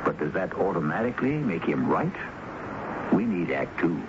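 A man's voice: low-pitched, sing-song, moderate pace, heavy background noise. A man hosting a radio mystery show, musing in a reflective, scripted narration. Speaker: host narrator. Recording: dull old radio broadcast over music.

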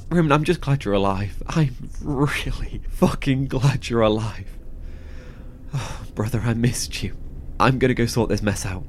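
A man acting a heartfelt, halting, foul-mouthed survivor devoted to his brother in a horror audio drama. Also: medium pitch, a little hiss or hum, close to an everyday voice. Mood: relieved, tearful.

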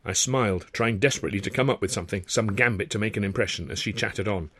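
An Englishman reading his own novel as a scripted audiobook narration, with a wry, expressive, literary delivery. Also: on the low side, fast, no echo.

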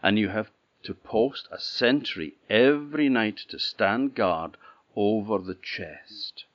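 A man's voice: on the low side, slow, no room sound. A man voicing a wise young princess who speaks solemnly and pleadingly, in a storybook telling. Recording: dull lo-fi recording.

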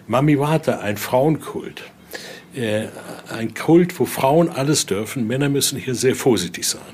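An older man with a mid-pitched voice gives a spontaneous guided explanation. He narrates step by step and often tacks on small questioning tags. Noticeable ambient noise sits behind him. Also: lively intonation, a little slow, a little mellow. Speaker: museum guide and interviewee. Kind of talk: spontaneous guided-tour storytelling. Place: indoor exhibition room. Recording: clearly noisy room ambience.

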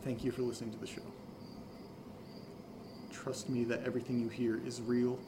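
A man's voice: mid-range voice, flat delivery, lightly acted. Man acting earnest, exasperated podcaster, noisy background. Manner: halting, pleading, insistent. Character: earnest, exasperated, jobless male amateur podcaster. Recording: noticeable ambient background noise.

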